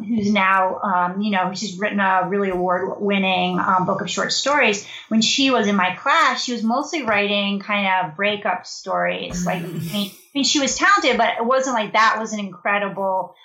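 A woman's voice: medium pitch, normal speed, bright, forceful tone, roomy sound, rough recording. A woman who co-directs a creative writing program, talking casually in an interview with many fillers and restarts.

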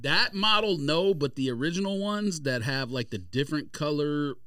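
A man's voice: a little high, lively intonation, normal speed, a bit bright, no room sound. A man co-hosting a casual podcast, blunt and slangy, recorded in a dry room a little away from the mic. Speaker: podcast co-host. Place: small podcast room or studio.

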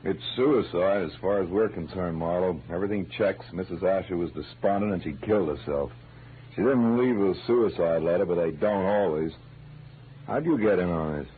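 Actor as deep-voiced, businesslike police lieutenant. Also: male voice, normal speed, close to an everyday voice. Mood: matter-of-fact. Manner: plain-spoken, businesslike. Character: matter-of-fact male police lieutenant, pragmatic and familiar. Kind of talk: 1940s radio drama acting. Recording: muffled old radio recording, faint hum.

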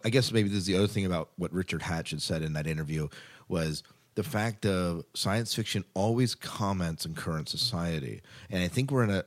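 A man co-hosting a podcast, giving his opinions in a talkative, conversational way. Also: sits low. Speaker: podcast co-host. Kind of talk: casual discussion, commentary.

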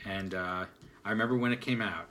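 A man in his forties chatting casually as a panelist, telling stories in a halting way. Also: on the low side, fairly even intonation, a loud crowd. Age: 40s. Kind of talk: casual chat.

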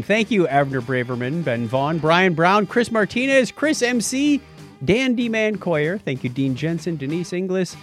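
A man hosting a podcast in casual chat, with jokey, informal asides. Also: sits high. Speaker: podcast host.

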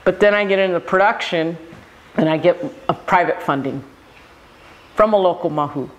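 Animated older filmmaker, higher-pitched man. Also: moderate pace, lo-fi sound. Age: around 60. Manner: animated storyteller, acts out quoted exchanges. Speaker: filmmaker guest. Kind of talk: interview answers.

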